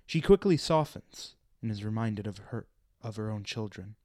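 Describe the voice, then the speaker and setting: A man's voice: lowish voice, lively intonation, moderate pace, warm tone, no room sound, studio quality. A man reading scripted stage directions as the narrator of a radio play.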